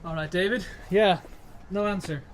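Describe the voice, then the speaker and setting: A man acting in a naturalistic radio drama as a worried, loyal young friend who stays steady in a crisis. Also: sits high, normal speed, lightly acted. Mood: worried, urging.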